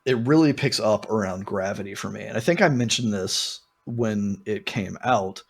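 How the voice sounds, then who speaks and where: Low, gravelly man chatting casually. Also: normal speed. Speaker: podcast host. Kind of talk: casual album discussion.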